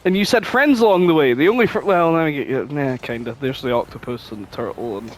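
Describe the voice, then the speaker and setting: Guy on a podcast just chatting, sweary and exclaiming, voice kinda high and all over the place. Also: animated, quick pace, a little hiss or hum. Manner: foul-mouthed, exclamatory. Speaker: podcast co-host. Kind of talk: casual chat.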